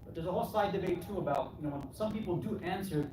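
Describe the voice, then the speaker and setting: A man's voice: high voice, quick pace. A man preaches to a congregation in a fairly distant, noisy recording with strong room echo. He talks colloquially, posing rhetorical questions and acting out the answers people might give. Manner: colloquial, checking in with "right?" and voicing imagined replies. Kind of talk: conversational English sermon with rhetorical questions. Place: Islamic center hall. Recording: echoey hall, distant mic, clearly noisy.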